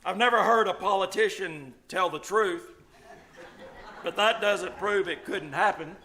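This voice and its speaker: Man as a high, bright, wry doctor. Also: a little slow. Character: wry, teasing veteran small-town doctor. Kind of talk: old-time radio comedy acting before an audience. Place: live stage performance at a venue.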